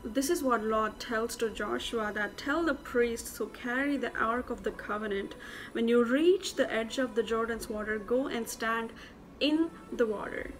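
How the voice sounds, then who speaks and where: A woman's voice: a little high, measured pace, slight background noise, rough recording. A young woman sharing a Bible teaching to camera in an earnest, informal way with many fillers, in an indoor room. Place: indoor room with plain walls.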